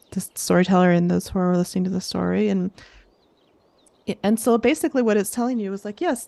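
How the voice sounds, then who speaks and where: A woman with a creaky voice hosting a casual coaching chat, affirming and reflecting back what she hears. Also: fairly low pitch, mellow. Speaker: host and creativity coach.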